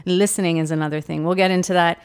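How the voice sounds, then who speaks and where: A woman's voice: fairly low pitch, steady tone, quick pace, smooth. A woman co-hosting a casual podcast chat, affirming and easygoing. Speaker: podcast co-host.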